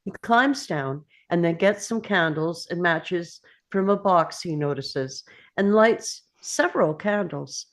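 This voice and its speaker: Unhurried, lowish-voiced woman narrator. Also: animated. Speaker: narrator reading stage directions. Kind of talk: scripted narration.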